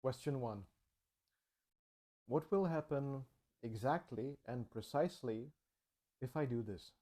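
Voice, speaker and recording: Guy, english, calm reflective narration, really unhurried, low-key mellow tone. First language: Czech. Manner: slow, measured, thoughtful delivery. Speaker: channel host.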